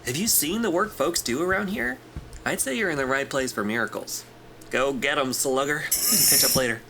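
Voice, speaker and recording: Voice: medium pitch, lively intonation, normal speed, background noise, close to an everyday voice. An actor playing a glib, friendly corporate clerk in a sci-fi audio drama, breezy, wry and casual in a naturalistic style. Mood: encouraging, confiding. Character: glib, teasing, friendly corporate clerk smoothing things over.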